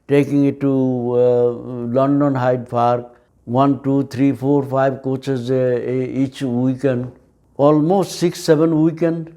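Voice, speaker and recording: A man in his seventies with a Bangladeshi accent and Bengali as his first language, recalling his life in an interview in halting, hesitant, simple phrases with repeated words. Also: mid-range voice, dark tone. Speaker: oral history interviewee. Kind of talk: recollection in an interview.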